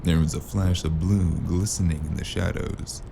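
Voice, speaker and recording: A man playing a weary, gruff detective in a noir audio drama, speaking in a dry, terse, gravelly way. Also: deep voice, steady tone, normal speed, noisy background. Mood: brooding narration. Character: weary gruff male noir detective narrating his cyberpunk case. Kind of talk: hard-boiled noir audio drama narration and dialogue.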